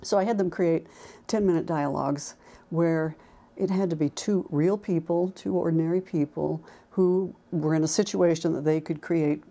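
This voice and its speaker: A woman with a low voice and a noticeable fry at the edges. She talks informally as a college instructor would, thinking as she goes and pausing often. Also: steady tone, warm tone. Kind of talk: informal talk to camera about teaching.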